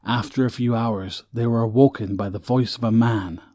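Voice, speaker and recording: A man's voice: medium pitch, steady tone, moderate pace, mellow, dry recording, studio quality. A man narrating a scripted story as the narrator of an audio drama.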